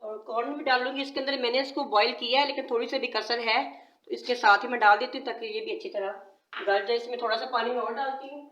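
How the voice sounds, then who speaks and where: A woman with a sore throat walks through a recipe step by step, repeating points patiently. Her voice sits a little on the high side. The sound bounces around a roomy home kitchen. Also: fairly even intonation, fast talker, a bit bright, lo-fi sound. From Pakistan. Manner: patient, repetitive explaining. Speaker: cooking channel host. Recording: echoey room.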